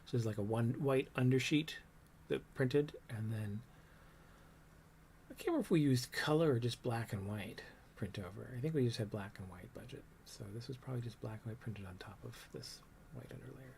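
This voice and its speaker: A man in his fifties with a low, soft voice, reminiscing casually on a livestream about his old art, with many ums and trailing thoughts. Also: a little fast, vocal fry, slight background noise. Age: 50s. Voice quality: low, soft voice that doesn't project. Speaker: comics artist showing his old work.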